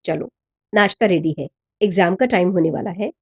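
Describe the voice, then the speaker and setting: A woman's voice: fairly low pitch, normal speed, voice pushed down, lightly acted. A woman narrator voicing an affectionate, encouraging middle-aged father in a story. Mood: cheerful. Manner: gentle, encouraging.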